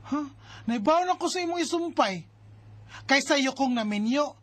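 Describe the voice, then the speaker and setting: A woman acting a bossy, concerned married elder sister in a Bisaya radio drama, with an expressive, nagging and exasperated delivery. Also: high-pitched, moderate pace. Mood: defensive, scolding. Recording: music bed under the voice. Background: background music.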